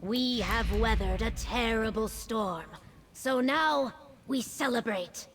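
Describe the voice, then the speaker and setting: An actress playing a dignified, commanding female governor in a video game, speaking in formal, measured phrases. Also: fairly high pitch, background noise. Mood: proud, rallying. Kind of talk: video game character dialogue.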